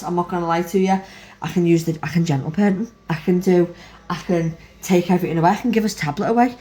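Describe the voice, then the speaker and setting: A young woman and mother, casually rambling through her day to camera, frank and lively. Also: fairly low pitch. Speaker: vlogger, mother. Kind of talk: casual chatty recap to camera. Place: home. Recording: echoey room.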